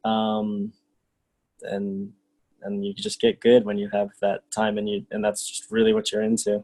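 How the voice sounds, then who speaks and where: A thirty-year-old man chats easily over a video call, his voice low and mellow. He keeps an even, flat delivery and checks in with "you know what I mean". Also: measured pace, poor audio. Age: 30s. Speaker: parkour coach. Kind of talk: casual group video call.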